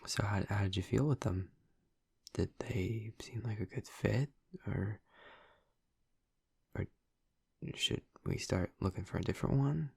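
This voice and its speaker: A man acting as a tender male vampire boyfriend in an intimate audio roleplay. His voice is very deep and thick with fry, breathy and soft. He talks slowly and tentatively, right up close to the listener in a dry recording. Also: no room sound, heavily acted. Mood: caring, attentive. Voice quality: husky, breathy, creaky. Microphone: close, dry microphone.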